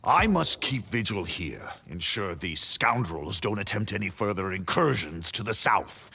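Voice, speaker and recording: Gravelly, disdainful knight. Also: male voice, on the low side, expressive, a little slow, sharp tone, exaggerated voice. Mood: grave urgency. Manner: formal, disdainful, sighing. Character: weary, disdainful knight keeping vigil against pirates. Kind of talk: video game character dialogue.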